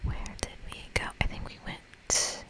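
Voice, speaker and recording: A woman's voice: measured pace, pushed and bright. Whispering woman, playful ASMR game reader. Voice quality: breathy whisper. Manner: playful, casual. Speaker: ASMR streamer reading a video game aloud. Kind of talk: whispered ASMR playthrough, reading dialogue and commenting.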